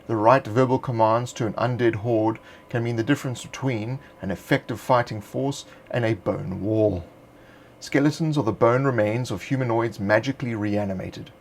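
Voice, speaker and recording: Low-voiced man reading measured narration. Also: a little hiss or hum. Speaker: narrator of a game supplement. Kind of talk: scripted narration.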